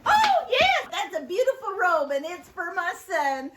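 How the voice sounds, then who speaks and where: A woman's voice: high voice, a little slow. A woman voicing an overjoyed, loving father who exclaims and laughs as he celebrates. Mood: joyful. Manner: exclaiming, laughing, breathless with joy. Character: overjoyed loving father, middle-aged man.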